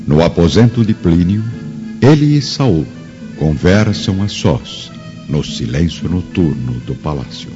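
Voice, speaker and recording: A man's voice: deep voice, animated, measured pace. A man narrating a radio drama in a solemn, theatrical scripted style. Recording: dull lo-fi radio drama, music underneath.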